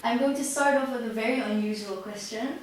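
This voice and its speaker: A woman's voice: a little high, a little fast, very echoey. Polite woman interviewer, short prompts, distant mic with faint hiss.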